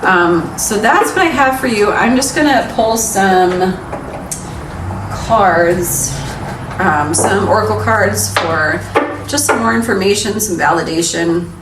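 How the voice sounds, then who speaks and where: A woman's voice: mid-range voice, slow pace. A young adult woman giving a casual pick-a-card tarot reading in a frank, encouraging, chatty way. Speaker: tarot reader. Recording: echoey room, mic some distance away, background noise.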